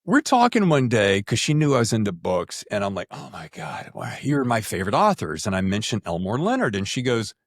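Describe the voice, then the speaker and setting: Male, middle-aged, interviewer. Lively, wide-ranging intonation, close dry sound, casual storytelling. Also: on the low side, a little fast, hardly any pauses, no echo. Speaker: podcast host. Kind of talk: casual interview chat with anecdotes.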